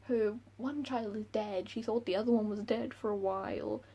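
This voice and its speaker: Brief: Welsh woman in her twenties, book vlogger, husky and gravelly, chatty with frequent giggles. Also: mid-range voice, fairly even intonation, moderate pace, warm tone. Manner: giggly, chatty, self-deprecating. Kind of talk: casual chat to camera. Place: room at home by a window.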